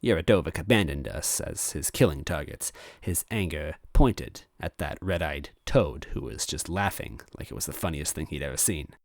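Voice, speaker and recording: A man reading a story aloud as narrator in an animated style, close on the microphone. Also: extremely low pitch, moderate pace, vocal fry, no room sound. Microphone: close microphone, dry.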